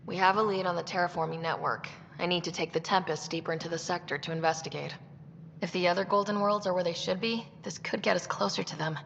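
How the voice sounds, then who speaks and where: A level-headed young female explorer, speaks in a lowish voice with noticeable fry. She is composed and plain-spoken, in reverberant sci-fi game sound. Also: fairly even intonation, quick pace, a bit bright. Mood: calm, resolved. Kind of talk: video game voice acting. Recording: dull game audio, long reverb, faint noise.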